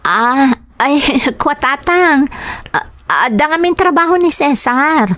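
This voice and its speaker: A woman's voice: high-pitched, expressive, takes it slowly, fairly bright tone, no echo, exaggerated voice. A woman playing a timid, beleaguered young wife in an audio drama, hesitant and pleading. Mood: nervous, evasive.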